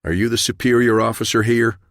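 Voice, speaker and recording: A man voicing a stern, battle-weary former army colonel who is principled and speaks in a commanding, forceful way. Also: medium pitch, flat delivery, fairly quick, no echo, clean studio sound, pitched above the performer's own voice, lightly acted. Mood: firm, authoritative.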